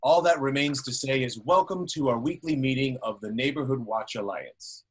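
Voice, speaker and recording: Man playing genial, pompous village dignitary, mid-pitched. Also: moderate pace, breathy edge, close to an everyday voice, rough recording. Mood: genial, formal. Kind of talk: film script table read.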